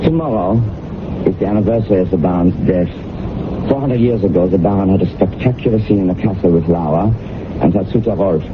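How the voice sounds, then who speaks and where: An actor playing a courteous but evasive German guide in a 1970s radio drama, speaking in a formal, measured way. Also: male voice, deep voice, natural voice. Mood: solemn. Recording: noisy, tinny old radio recording.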